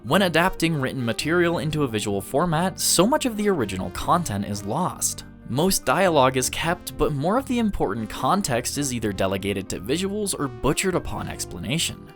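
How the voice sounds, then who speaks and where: A man's voice: fairly high pitch, big pitch swings, a little fast, few pauses, faint music behind. A man presenting a video essay as the host, speaking to viewers in a review style. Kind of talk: scripted review narration with occasional casual asides.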